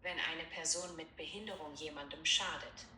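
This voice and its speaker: A woman's voice: low-pitched, steady tone, moderate pace, bright, forceful tone, slight background noise. A synthesized woman's voice reading text aloud evenly, played through a phone speaker. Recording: phone speaker in an echoey room.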